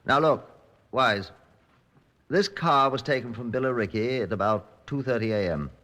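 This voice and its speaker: An actor playing a composed, methodical British chief inspector in an old-time radio crime drama, brisk and authoritative. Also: male voice, mid-range voice, bright, forceful tone, close to an everyday voice. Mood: commanding.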